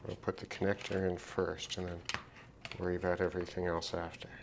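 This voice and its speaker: A man casually narrating a hands-on repair demonstration, thinking aloud in an unhurried way as he works. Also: deep voice, little pitch movement, vocal fry, noisy background. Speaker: repair video host.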